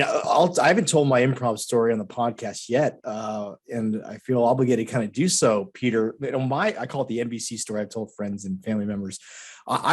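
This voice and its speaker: A man's voice: medium pitch, breathy edge. A man hosting a podcast, chatty and rambling as he tells a self-deprecating personal story. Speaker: podcast host. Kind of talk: casual interview chat.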